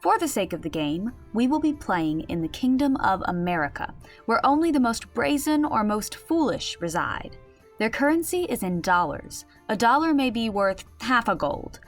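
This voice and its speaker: A woman playing a composed, patient but firm storyteller in a fantasy audio drama, explaining with gentle authority. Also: a little high, very animated, moderate pace, soft background music.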